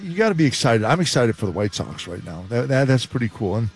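A man's voice: mid-range voice, sing-song, quick pace, a little hiss or hum. An older man giving rambling postgame basketball analysis and restarting his sentences often.

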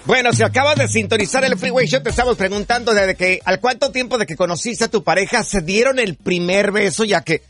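A man's voice: high voice, fairly quick, few pauses, fairly bright tone. A male radio host running a call-in show, teasing and addressing callers affectionately. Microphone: studio mic.

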